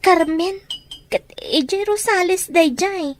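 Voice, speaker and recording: A young Ilocano daughter in a radio drama. Her voice sits extremely high, and she speaks earnestly and pleadingly, worried for her siblings. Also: slow pace, a little hiss or hum. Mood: puzzled, searching.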